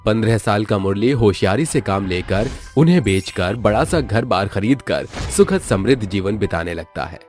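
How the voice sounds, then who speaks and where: A man narrating a cartoon fairy tale in a smooth, flowing storytelling manner. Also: on the low side, lively intonation, normal speed, few pauses, darkish tone, soft background music.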